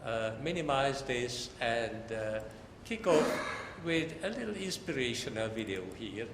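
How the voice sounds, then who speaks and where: An older man from Hungary with a Hungarian accent gives a formal academic lecture as a professor, in measured phrases that often pause mid-sentence. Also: medium pitch, big pitch swings. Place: large lecture hall, talking to an audience. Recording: echoey lecture hall with background noise.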